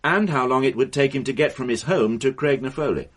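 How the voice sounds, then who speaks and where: A man's voice: mid-range voice, a little fast, natural voice. A man as a probing police inspector. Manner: persistent, clipped questioning. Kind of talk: classic radio drama acting.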